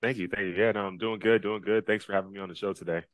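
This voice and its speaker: Deep-voiced upbeat man on a headset. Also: fairly even intonation, very fast, no echo. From Dallas, Texas. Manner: upbeat, laughs easily, many fillers. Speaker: guest, Navy officer and race driver. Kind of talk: casual video-call interview. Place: small indoor room.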